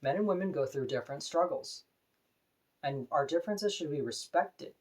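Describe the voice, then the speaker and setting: A woman with a deep voice, talking to camera in an earnest, opinionated, plain-spoken personal vlog. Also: lively intonation, normal speed, a little mellow, husky, rough recording. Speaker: vlogger.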